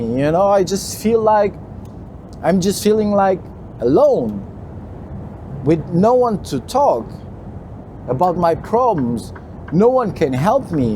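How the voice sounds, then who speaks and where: A man from Portugal with a Portuguese accent, a truck driver talking casually to his viewers, halting and repeating himself, with "you know" tucked into most lines. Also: high voice, mellow, slight background noise. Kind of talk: casual talk to camera while driving. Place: truck cab on the road.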